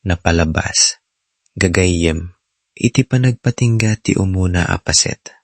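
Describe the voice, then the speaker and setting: A man's voice: low-pitched, a little slow, over music. A man with a Filipino accent giving scripted dramatic narration as the host of an audio drama.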